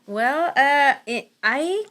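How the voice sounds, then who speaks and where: A woman from Romania who grew up in Los Angeles, a podcast guest chatting in an animated way. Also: high-pitched, very slow. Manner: chatty and animated, acts out sounds and voices. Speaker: guest, actress and content creator. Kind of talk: casual interview chat.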